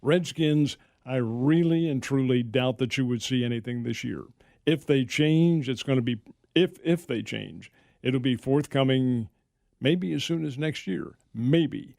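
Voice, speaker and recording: A man with a gravelly, fry-laden voice talks sports on the radio. He weighs each point slowly and doubles back on his words. The studio sound is dry and close. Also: medium pitch, darkish tone, no echo. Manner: measured, hedging, restarts his sentences. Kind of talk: casual sports talk radio. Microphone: studio microphone.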